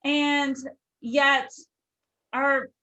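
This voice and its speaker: A woman's voice: high-pitched, level tone, extremely slow, pushed and bright, dull audio. A woman hosting a podcast, talking in a gushing, earnest way. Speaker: podcast host. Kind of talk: casual podcast chat.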